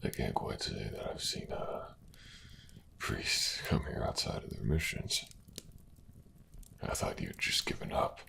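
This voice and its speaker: An actor playing a weary, dying miner in a fantasy audio drama, pleading in a weak, halting, breathy half-whisper. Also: male voice, extremely low pitch, lively intonation, background noise, exaggerated voice. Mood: weary resignation. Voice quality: gravelly, breathy rasp.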